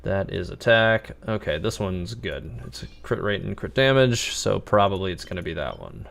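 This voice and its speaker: Low, creaky-voiced male game streamer. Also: sing-song, normal speed, fairly bright tone. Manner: musing, thinking aloud. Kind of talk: casual live gameplay commentary.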